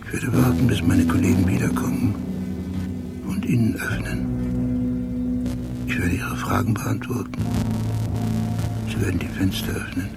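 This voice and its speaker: A brooding police detective in a literary radio monologue. The voice is low and gravelly, telling things precisely in short, inward-looking sentences, with music clearly behind it. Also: male voice, huge pitch swings, unhurried, long pauses, exaggerated voice. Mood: brooding, hushed. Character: brooding, obsessive police detective recalling memories and cases. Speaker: narrator.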